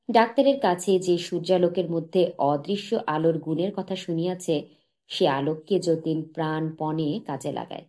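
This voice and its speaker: A woman narrating a literary short story as an audiobook, in a measured, dramatic reading manner. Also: on the low side, mellow.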